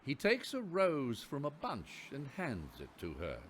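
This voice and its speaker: A man's voice: mid-range voice, wildly swooping pitch, measured pace, a little hiss or hum. A man playing the narrator of a radio play, telling the story in scripted, descriptive prose. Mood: measured storytelling.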